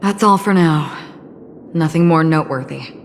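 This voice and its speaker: Low-voiced, guarded, enigmatic wanderer. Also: female voice, normal speed, slight background noise. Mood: detached. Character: calm, guarded, enigmatic young woman wanderer, quietly perceptive. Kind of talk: video game voice acting.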